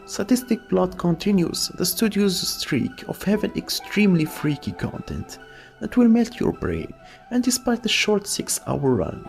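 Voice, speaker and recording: Brief: opinionated voice-over narrator, animated delivery, some fry, soft music underneath. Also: mid-range voice. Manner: opinionated, wry asides. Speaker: video essay narrator. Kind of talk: scripted voice-over commentary.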